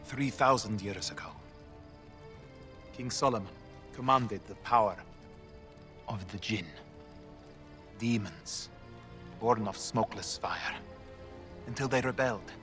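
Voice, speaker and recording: A grave desert sheikh, formal and deliberate. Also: male voice, lowish voice, a bit bright. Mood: ominous. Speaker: video game character. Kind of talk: video game cutscene acting. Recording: game audio with music score.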